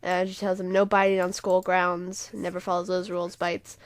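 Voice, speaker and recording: A woman's voice: fairly low pitch, little pitch movement, moderate pace, dry recording. Woman giving a rambling, casual video walkthrough. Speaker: video creator explaining own roleplay series. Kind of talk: casual unscripted walkthrough commentary.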